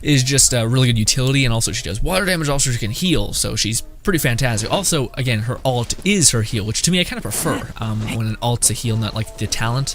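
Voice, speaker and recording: A man giving casual, reactive gameplay commentary as a streamer, excitable, slangy and quick to swear. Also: medium pitch. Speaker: gaming streamer. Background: game music.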